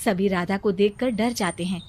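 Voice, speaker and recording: A woman's voice: mid-range voice, moderate pace, darkish tone. A woman reading scripted narration for a moral-story cartoon as its storyteller.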